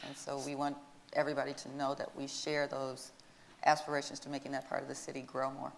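An eager woman with a low, full voice who promotes an arts program on a panel stage. Her voice echoes with the long reverb of a large hall. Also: monotone, brisk, gravelly, slight background noise. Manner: enthusiastic, promotional. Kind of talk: panel discussion.

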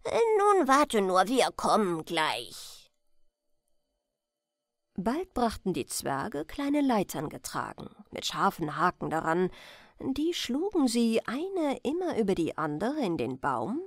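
A woman's voice: a little high, very animated, measured pace, a little mellow, no room sound, studio quality. A woman reading a bedtime fairy tale as a scripted narration.

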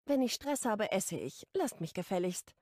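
A narrator plays a stressed young woman in a romance audiobook. She is candid and a little defensive, with wry edges. Her voice has noticeable vocal fry and sits very dry. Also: medium pitch, sing-song, moderate pace, a little mellow, no echo. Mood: wry, needling.

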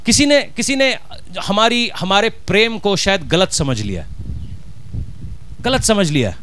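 High-voiced Punjabi pastor preaching. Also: male voice, sing-song, measured pace, fairly bright tone. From Punjab, India. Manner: emphatic preaching with rhetorical questions and Punjabi words. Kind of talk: sermon. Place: church stage, indoors. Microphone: handheld mic.

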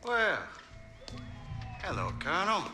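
Smug corporate heavy for a game: sharp, slow, sarcastic drawl, music underneath. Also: male voice, high voice, wildly swooping pitch, full character voice. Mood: mocking. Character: smug corporate security chief, sardonic and menacing. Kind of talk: video game cutscene acting.

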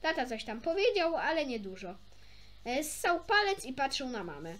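High-pitched, lively young woman reading aloud. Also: moderate pace. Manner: informal, stumbles over words and self-corrects. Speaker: amateur storybook reader. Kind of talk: expressive read-aloud of a children's story with chatty asides.

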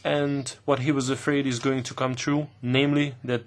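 A man interpreting formal committee questions live, speaking in halting bursts with pauses mid-phrase. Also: medium pitch, flat delivery. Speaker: simultaneous interpreter.

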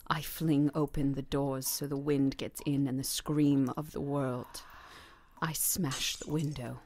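An actress playing a wounded, vengeful captive woman in a radio play, whispering her monologue in a slow, breathy manner. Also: a bass voice, flat delivery, darkish tone, no echo. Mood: haunted, defiant.

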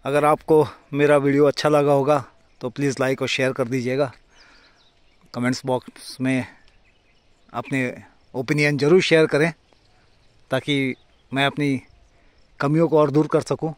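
A man narrating as a moto vlogger while riding a motorcycle on a rural road, in a gentle, descriptive way with small pauses. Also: mid-range voice, flat delivery, clean tone, no echo.

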